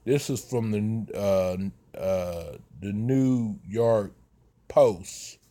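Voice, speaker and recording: Man, 30s, vlogger talking slow with lots of "uh"s, lowish voice. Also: mellow. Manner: slow, halting, many fillers and restarts. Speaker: vlogger giving commentary. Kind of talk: casual commentary.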